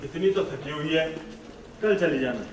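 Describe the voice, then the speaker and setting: An actor in a Hindi stage play, playing a protective, fatherly nomad chieftain who gently cautions. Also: on the high side, measured pace, slight background noise, close to an everyday voice. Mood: concerned, protective. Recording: distant, very echoey auditorium recording.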